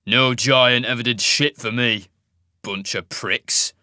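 A man growling as a rasping, scarred warrior. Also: mid-range voice, pushed and bright, dry recording, studio quality. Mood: snarling. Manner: slow, snarling, coarse. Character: fire-scarred surly male warrior, grim and spiteful. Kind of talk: audiobook character voice.

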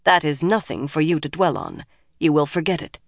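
A woman narrator voicing a solemn, motherly but secretive senior sorceress in an audiobook, speaking in hushed, measured, firm tones. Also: low-pitched, big pitch swings, vocal fry, dry recording, clean studio sound, heavily acted. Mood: hushed, urgent.

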